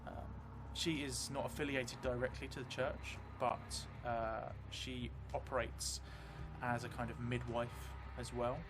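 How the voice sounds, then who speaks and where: A middle-aged man narrating a solo tabletop role-playing game in a measured, reflective, hesitant way. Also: mid-range voice, level tone, background noise. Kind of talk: improvised first-person tabletop game narration. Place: small indoor room, seated at a desk. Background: background music.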